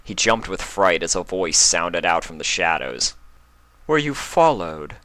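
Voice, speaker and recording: A man's voice: deep voice, moderate pace, pushed and bright, no echo. A man reading a story aloud as its narrator, in a scripted storytelling style. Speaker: narrator reading fan fiction.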